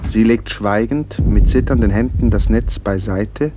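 A man as the sober, measured narrator of a radio play, reading scripted stage directions. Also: fairly low pitch, lightly acted. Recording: old, noisy radio recording.

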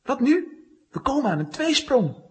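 An alert young boy talks in a highish, animated voice. He is sensible and earnest, explaining things keenly in a children's adventure radio play. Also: unhurried. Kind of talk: children's audio drama acting.

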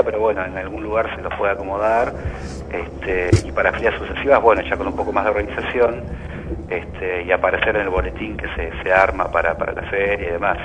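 A male guest, stumbling through restarts and fillers. His voice comes through a dull, lo-fi line. A faint hiss sits behind him. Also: on the low side. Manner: hesitant, with many restarts. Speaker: book fair organizer guest. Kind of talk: radio interview.

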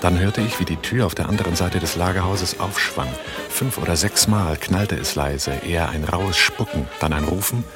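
An actor in a German radio drama playing a cool, controlled gunrunner, terse and matter-of-fact. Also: male voice, sits low, moderate pace, over music, lightly acted. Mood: tense, controlled. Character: Altmaier, cool, controlled male gunrunner who takes command. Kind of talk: German radio drama acting and first-person narration.

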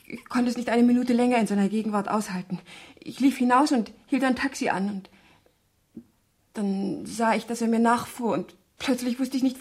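A woman's voice: on the high side, a little mellow, husky. An actress playing a troubled, conflicted young woman, polite, hesitant and earnest, in a 1970s German radio crime drama.

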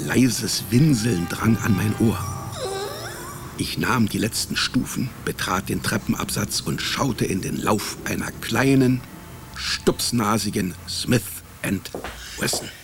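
Male radio-drama lead: wisecracking private eye narrator, lowish voice with fry, dry irony, music underneath. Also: slow pace. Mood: wry, tense. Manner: dry, ironic, laconic. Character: sardonic, wisecracking male private detective narrating his case. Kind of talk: first-person hard-boiled radio drama narration.

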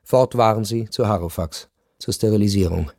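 A man narrating a first-person literary radio drama in a measured, reflective way. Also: lowish voice, darkish tone, breathy edge, no echo, clean studio sound.

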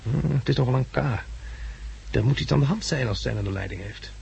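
Wry, gravelly male survivor. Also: medium pitch, brisk, a little mellow, highs cut off. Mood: inquiring. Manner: conversational, questioning. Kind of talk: 1960s radio drama acting. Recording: noisy old 1960s radio recording.

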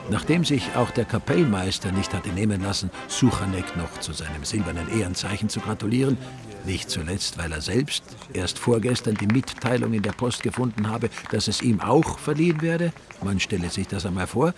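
An actor playing a wry, dryly comic storyteller with an Austrian accent, narrating a radio drama in a deadpan manner. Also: male voice, lowish voice, moderate pace, music in the background, lightly acted.

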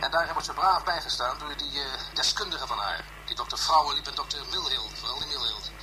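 An actor playing a fussy, precise scientist in a radio drama, pedantic and emphatic with hesitant pauses. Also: male voice, on the high side, bright, forceful tone, a little hiss or hum, rough recording. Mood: urgent, insistent. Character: fussy, precise scientist colleague, urgent and sceptical.

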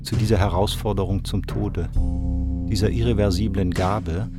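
A man's voice: sits low, steady tone, normal speed, lightly acted. An actor reciting as a grave philosophical man, solemn and declamatory, in a radio play. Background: loud plucked guitar music.

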